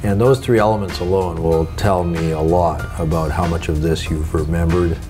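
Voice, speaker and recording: Middle-aged male instructor, deep voice, conversational briefing, music behind. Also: fairly even intonation, normal speed. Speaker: flight instructor.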